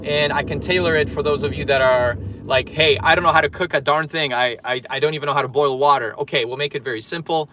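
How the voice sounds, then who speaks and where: Upbeat guy from poland, fitness coach chatting on a live stream from his car, slightly high voice. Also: quick pace, hardly any pauses, sharp tone, slight background noise, low-quality recording. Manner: chatty, upbeat, reads viewer comments aloud. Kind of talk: casual livestream chat while driving. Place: in a car in traffic.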